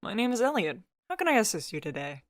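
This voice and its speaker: Woman acting a gentle, friendly young male receptionist. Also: mid-range voice, very animated, quick pace, no echo, clean studio sound, pitched above the performer's own voice, natural voice. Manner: soft, polite, cheerfully helpful. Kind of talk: scripted audiobook character dialogue.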